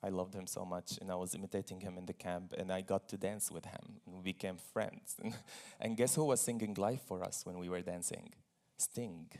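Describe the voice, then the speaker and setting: A man's voice: deep voice, steady tone, brisk, gravelly, hardly any pauses, a little mellow. A man from Syria speaking on stage as a guest at a forum, telling his personal story earnestly, with small laughs and repeated phrases.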